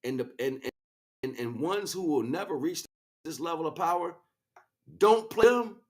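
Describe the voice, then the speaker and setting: A man's voice: fairly high pitch, animated, normal speed. A man livestreaming an informal spiritual lecture, speaking off the cuff with emphatic repeated phrases and frequent check-ins with his listeners. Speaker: livestream host, spiritual teacher.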